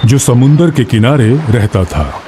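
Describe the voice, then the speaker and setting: A man's voice: medium pitch, very animated, unhurried, dark tone, a little hiss or hum. A man narrating a scripted moral story as a storyteller. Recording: music bed under the voice.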